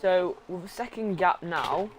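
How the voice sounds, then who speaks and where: A hoarse man with a sore throat giving live sim racing commentary, blunt and joking with his co-host, clearing his throat now and then. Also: high voice, unhurried.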